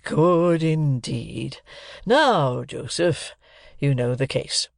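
A reader voicing a pompous, self-important older uncle who makes slow, grand pronouncements in an audiobook. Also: medium pitch, lively intonation, vocal fry, dry recording, clean studio sound, heavily acted. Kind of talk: audiobook character voice.

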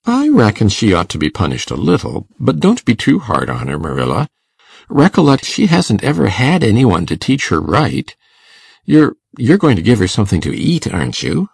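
A man acts out a shy, kindly older farmer for a storybook. His voice is very husky and creaky, moving up and down as he coaxes in a hesitant, folksy way, often repeating himself. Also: lowish voice, wildly swooping pitch, normal speed, a little mellow, no room sound, studio quality, full character voice. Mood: uneasy, pleading. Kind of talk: audiobook character acting.

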